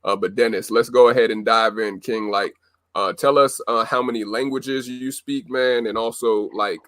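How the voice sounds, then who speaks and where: A man hosting a live audio show, upbeat and filling his pauses with "uh". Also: mid-range voice, fairly even intonation, fairly bright tone. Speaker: host.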